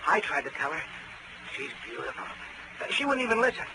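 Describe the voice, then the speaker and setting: An actor playing a hungover, befuddled client who stammers and frets nervously in a 1940s radio drama. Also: male voice, on the high side, sing-song, fairly quick. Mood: anxious. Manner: stammering, groaning, nervous delivery. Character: hungover, jittery male client, fretful and befuddled. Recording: noisy vintage 1940s radio recording.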